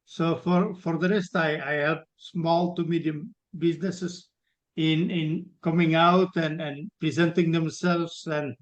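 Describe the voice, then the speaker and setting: Slow, hesitant man on a call. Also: a little high, steady tone, muffled recording. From Belgium. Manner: hesitant, many fillers and restarts. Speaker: web designer introducing himself. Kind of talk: self-introduction at an online networking meeting.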